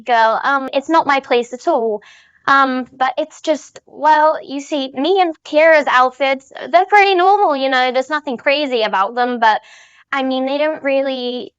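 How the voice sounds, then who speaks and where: A woman playing a chatty, image-conscious teenage schoolgirl in an amateur animated drama, on slightly muffled audio. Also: high voice, clean tone, no room sound. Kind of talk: scripted drama acting, casual chatter.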